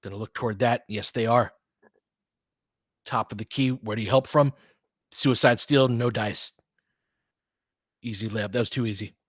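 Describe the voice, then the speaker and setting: Male basketball commentator, mid pitch, dry close sound, casual host style with clipped play-by-play. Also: steady tone, moderate pace, long pauses, dry recording. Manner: clipped, fragmentary play calls. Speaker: host doing fan play-by-play of a basketball game. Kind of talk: live sports commentary mixed with casual chat.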